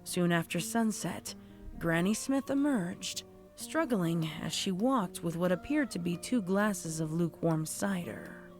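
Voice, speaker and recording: A woman's voice: on the low side, expressive, a little slow, faint music behind. A woman reading scripted fiction as a storybook narrator. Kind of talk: scripted audiobook-style horror fan fiction narration.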